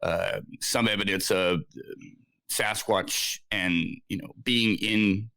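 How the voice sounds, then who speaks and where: Man in his 40s, from Scotland, low voice, dry close sound, rambling podcast guest. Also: fairly even intonation, slow pace, vocal fry, pushed and bright, clear, resonant tone, no echo. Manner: rambling, many fillers and restarts. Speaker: guest researcher. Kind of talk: casual interview storytelling.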